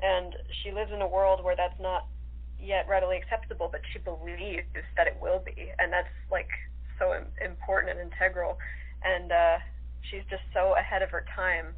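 A woman speaking as an interview guest in casual chat, enthusiastic but pausing to search for words. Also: on the low side, level tone, husky. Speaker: radio-play actress, interview guest. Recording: tinny telephone line.

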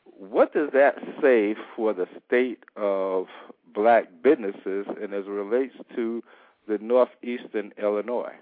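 Rambling man co-host on a phone line. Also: fairly low pitch. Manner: rambling, halting questions with many fillers. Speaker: radio co-host and newspaper reporter. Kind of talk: call-in radio interview. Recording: dry, tinny phone line.

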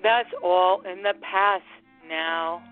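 Slow-paced woman acting a patient wife. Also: lowish voice, steady tone, soft background music. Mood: soothing. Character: patient, gently firm middle-aged wife. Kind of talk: radio drama acting. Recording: muffled phone-like audio.

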